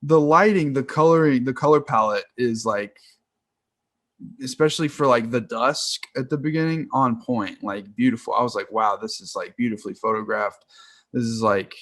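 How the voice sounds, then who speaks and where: A man's voice: medium pitch, moderate pace, a bit bright, dry recording. A man in his twenties chatting casually and enthusiastically about films on a video call, rambling with lots of slang. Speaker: film podcast co-host. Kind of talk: casual film chat.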